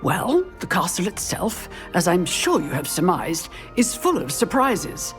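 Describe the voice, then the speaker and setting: A woman's voice: on the low side, big pitch swings, unhurried, faint music behind. An actress playing an older witch professor in a video game, poised, formal and wry, with a British accent. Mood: wry, reminiscing. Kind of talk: video game character acting.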